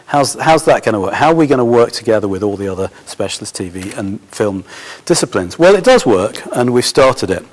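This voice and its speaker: A man giving a conference talk as a film school course leader, halting and full of fillers and restarts, his voice a little hoarse. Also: fairly low pitch, sing-song. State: slightly hoarse.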